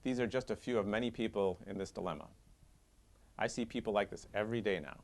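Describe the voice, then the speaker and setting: A man in his fifties, a surgeon, giving an earnest, measured scripted appeal from his office. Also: fairly low pitch. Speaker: head and neck surgeon. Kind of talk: scripted advocacy monologue. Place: doctor's office with diplomas.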